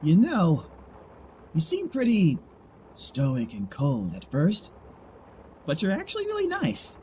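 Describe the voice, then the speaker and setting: An actor playing an easygoing joker with a sincere heart in a fan audio drama, talking in a casual, chatty, expressive way. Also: medium pitch, takes it slowly, slight background noise. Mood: warm, teasing.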